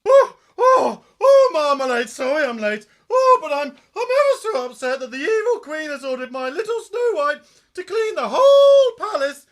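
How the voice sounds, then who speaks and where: A woman's voice: high voice, sing-song, moderate pace. A woman playing a flustered, saucy, doting pantomime nanny with a gushing, theatrical manner. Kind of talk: amateur pantomime acting.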